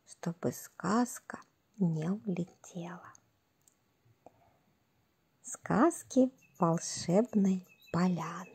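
A woman narrating a scripted fairy tale for children in a soft, breathy, gentle and unhurried storytelling manner. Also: fairly low pitch.

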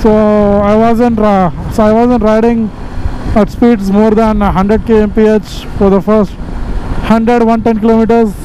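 Man talking over loud wind. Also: high-pitched, measured pace, low-quality recording. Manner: chatty, excitable, mixing Hindi into English. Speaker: motovlogger. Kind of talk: helmet-cam ride commentary. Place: on a motorcycle on an open highway. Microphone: helmet mic. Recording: loud wind and engine noise outdoors.

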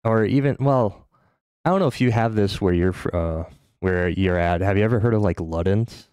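A man's voice: fairly low pitch, moderate pace, darkish tone, smooth, no room sound, studio quality. An American man hosting a casual podcast interview, rambling with many fillers. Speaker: podcast host.

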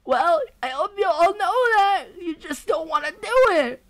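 Someone doing a high, whiny distraught homeowner. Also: animated, unhurried. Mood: tearful, upset.

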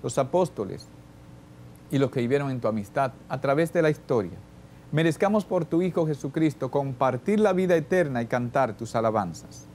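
Solemn older priest, mellow voice. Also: male voice, medium pitch, lively intonation, slight background noise. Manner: solemn, measured liturgical recitation. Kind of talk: reciting the Mass liturgy. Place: church sanctuary, at the altar.

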